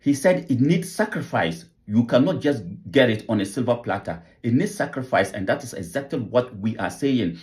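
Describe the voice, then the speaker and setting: A man's voice: mid-range voice, animated, normal speed, few pauses, a little mellow. A man hosting an online channel, talking earnestly to viewers with repeated rhetorical questions. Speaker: channel host. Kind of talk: vlog commentary, appeal to viewers.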